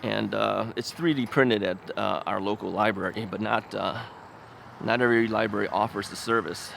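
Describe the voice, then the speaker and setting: A man's voice: on the low side, fairly even intonation, normal speed, vocal fry, fairly bright tone. A man hosting a casual vlog while out riding on a city street. He rambles in a folksy way, with lots of filler words and frequent chuckles. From United States. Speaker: ebike video host. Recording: outdoor street recording with traffic noise. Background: traffic and street noise.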